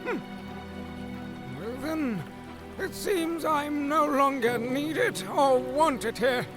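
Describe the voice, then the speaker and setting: A man's voice: very high-pitched, drawn-out words, music in the background, full character voice. A man voicing a bitter, haughty old fish-folk royal advisor with formal, aggrieved speech in a fantasy audio drama. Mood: bitter, huffy.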